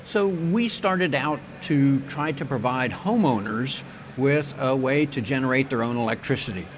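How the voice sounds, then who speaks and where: An older man, a company president, answering interview questions on a conference stage in a measured, engineer-like way with frequent ums and restarts. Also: mid-range voice, slight background noise. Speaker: wind turbine company president.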